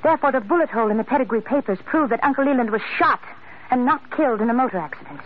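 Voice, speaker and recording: A woman's voice: a little high, animated, very fast. An actress playing an anxious, pleading young woman who speaks earnestly and dramatically, in old-time radio acting style. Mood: earnest, insistent. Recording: tinny, noisy old radio recording.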